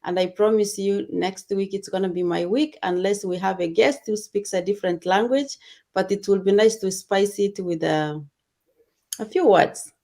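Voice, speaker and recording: A woman's voice: mid-range voice, moderate pace, dark tone. A woman hosting a casual online discussion show.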